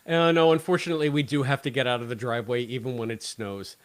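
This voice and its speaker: A middle-aged man with an American accent talks casually as a podcast co-host. His voice sits at an ordinary middle pitch, and his delivery is dry and joking, like relaxed banter between friends. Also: fast talker. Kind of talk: casual banter about a show.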